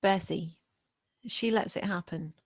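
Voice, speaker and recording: A woman's voice: on the low side, measured pace, no room sound, studio quality. A woman playing a defiant female servant who questions earnestly and pointedly in a gothic drama table read. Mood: grave, probing.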